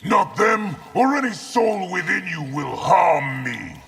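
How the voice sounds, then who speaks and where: A man's voice: high-pitched, sing-song, slow, gravelly, heavy background noise, exaggerated voice. A man playing an arrogant villainous sorcerer in a video game, haughty and taunting. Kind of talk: video game character dialogue. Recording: loud music under the voice.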